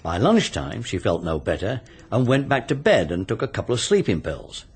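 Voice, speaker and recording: An actor playing a matter-of-fact, sceptical investigator with an English accent, speculating drily in a radio mystery drama. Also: male voice, fairly low pitch, huge pitch swings, normal speed, slightly rough, full character voice. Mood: dry, speculative.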